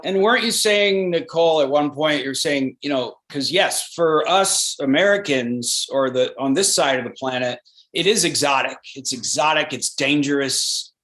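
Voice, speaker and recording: Man, fairly high voice, actor, casual interview, rambling, thoughtful. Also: moderate pace, fairly bright tone. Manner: rambling, thoughtful, many restarts.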